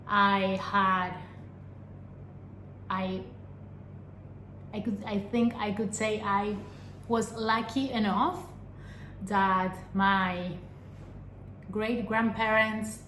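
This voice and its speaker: A young adult woman from Argentina, a vlogger giving an earnest personal talk to camera, pausing often and asking rhetorical questions. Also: medium pitch, steady tone, a bit bright, slight background noise. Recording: echoey room with faint ambience.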